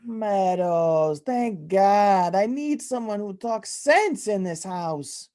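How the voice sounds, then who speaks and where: A woman in an amateur old-time radio comedy, playing an exasperated, henpecked middle-aged mob boss father with gruff mobster slang. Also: medium pitch, expressive, takes it slowly, warm tone. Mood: gruff, fatherly.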